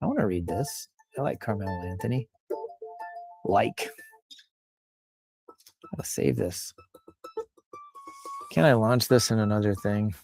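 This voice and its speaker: A man with a mellow, relaxed voice riffs casually as he reads aloud, with faint music behind him. His delivery is playful and loose, wandering into off-the-cuff comic asides. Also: on the low side, takes it slowly, vocal fry, pauses often, low-quality recording. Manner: playful, rambling, breaks off into asides and chuckles. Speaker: host reading and riffing on social media posts. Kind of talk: casual, improvised comic commentary.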